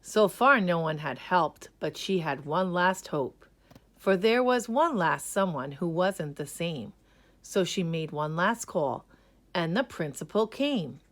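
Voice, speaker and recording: A woman reading a children's story aloud in a rhythmic, expressive way, with dramatic emphasis on key words. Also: lowish voice.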